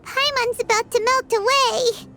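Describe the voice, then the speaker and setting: A woman voicing a tiny, childlike, excitable fairy companion in a video game, squeaky and dramatic. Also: unhurried. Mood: whiny, weary. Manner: exclamatory, dramatic, refers to itself in third person. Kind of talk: scripted video game character dialogue. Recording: loud music behind the voice.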